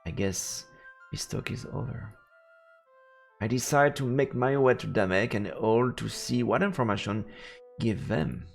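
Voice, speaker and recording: A man's voice: mid-range voice, a little slow, creaky, soft background music. A man streaming a visual novel, reading the narration aloud and adding casual reactions.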